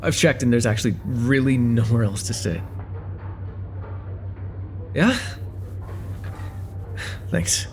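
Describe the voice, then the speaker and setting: A man's voice: mid-range voice, lively intonation, slow pace, pauses often, darkish tone, a little hiss or hum. A man playing a friendly, chatty young guy at a cafe in a casual, slightly hesitant conversational ASMR roleplay.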